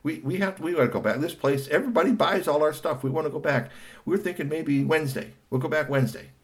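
A man's voice: a little high, pitched above the performer's own voice, close to an everyday voice. A man voicing an easygoing young hustler in a told story, chatting and pleading on the phone. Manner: casual, quick pleading.

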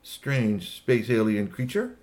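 A man's voice: on the low side, sing-song, takes it slowly, a little mellow. A man voicing a friendly, curious little male space alien in a children's story, with exclamatory, quaintly formal phrasing.

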